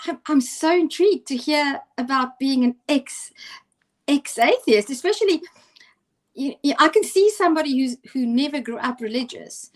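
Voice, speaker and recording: A woman's voice: high voice, lively intonation, moderate pace. A woman from South Africa with an Afrikaans accent, a teacher chatting casually on a video call and often restarting her sentences. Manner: hesitant, many restarts and fillers. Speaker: teacher and co-host.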